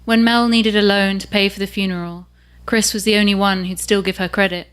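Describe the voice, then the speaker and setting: A woman, reading the opening of her own novel aloud in an unhurried way. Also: medium pitch, little pitch movement, clean tone. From England. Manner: measured and unhurried.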